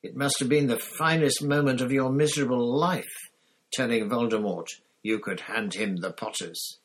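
A man's voice: mid-range voice, slow pace, pitched above the performer's own voice, natural voice. A man reading an audiobook, voicing a bitter escaped prisoner who hisses venomous accusations. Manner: venomous, hissing, growling accusations.

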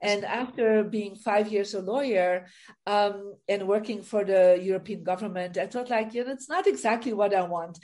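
A woman's voice: medium pitch, normal speed, darkish tone. A middle-aged woman from Belgium with a Belgian accent, telling her story as a guest in a casual interview, with light laughs. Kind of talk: interview on a video call.

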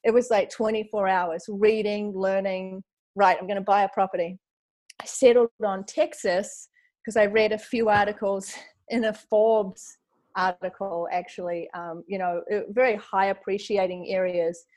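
A woman's voice: mid-range voice, fairly even intonation, moderate pace, a little mellow, rough recording. A woman in her forties from New Zealand with a New Zealand accent, a podcast guest chatting casually and often quoting her own thoughts. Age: 40s. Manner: chatty, lots of fillers and quoted self-talk. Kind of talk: casual interview chat.

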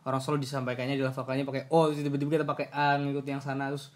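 A man's voice: medium pitch, monotone, rapid-fire. Young man with a ngapak Javanese accent. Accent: Banyumasan (ngapak) Javanese. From Kebumen, Central Java. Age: young adult. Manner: loose storytelling with fillers and asides. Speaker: podcast guest, university student. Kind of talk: casual chat.

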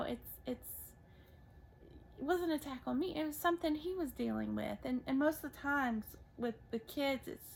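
Soft-voiced woman with gravelly fry. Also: on the high side, a little hiss or hum. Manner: gentle, halting, many restarts. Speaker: podcast co-host, mother. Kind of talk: casual faith conversation.

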